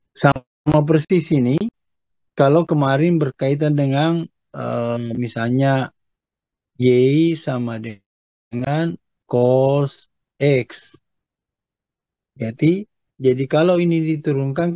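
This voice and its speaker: A man's voice: mid-range voice, mellow. A man giving a step-by-step maths lesson as a lecturer, patiently explanatory and often checking that students follow. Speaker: mathematics lecturer. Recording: echoey room, dull muffled sound.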